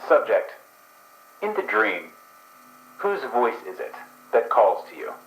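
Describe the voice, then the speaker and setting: A man's voice: fairly low pitch, sing-song, slow pace, a bit bright, roomy sound, slight background noise, heavily acted, low-quality recording. A man voicing a coolly polite researcher running a drug trial, speaking in a clinical, formal way.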